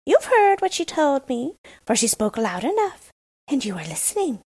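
A woman's voice: sits high, sing-song, normal speed, a little mellow, pitched above the performer's own voice. A woman reading a storybook, voicing a fierce, blunt young robber girl who talks in a bossy way.

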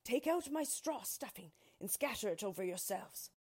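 A woman voicing a brave male straw scarecrow, using a much higher, eager voice. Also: lively intonation, normal speed, darkish tone, slightly rough. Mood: urgent.

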